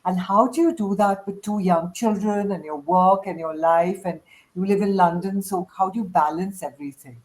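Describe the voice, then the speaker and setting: A woman's voice: fairly low pitch, normal speed, a little mellow. A woman hosting a casual panel chat, talking in a chatty, encouraging way. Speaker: host of a group chat.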